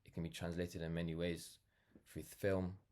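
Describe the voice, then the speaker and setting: A young man from London with a notably low voice. He talks off the cuff in a reflective, earnest monologue. His delivery rambles, leaning on "like" and "you know" as he goes. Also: flat delivery, normal speed, darkish tone. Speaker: vlogger, brand founder. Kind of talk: casual reflective monologue to camera.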